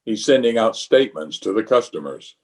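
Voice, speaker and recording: A man's voice: on the low side, lively intonation, a little fast. A man playing a blustering, self-important middle-aged uncle and small-town official in an old-time radio comedy, with a pompous manner. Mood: flustered, deferential.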